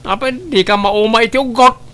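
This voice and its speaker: A man's voice: very high voice, a little fast, sharp tone. A man with an Ilocano accent playing a hot-tempered, quarrelsome gambling husband in a family drama. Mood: angry, scornful. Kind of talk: Ilocano radio-style family drama acting.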